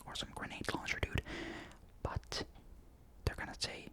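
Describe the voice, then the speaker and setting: Young man whispering softly. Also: unhurried. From Finland. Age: young adult. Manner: soft unvoiced whisper throughout; rambling, many fillers and restarts. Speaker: ASMR creator. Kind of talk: whispered ASMR chat. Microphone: desktop condenser mic.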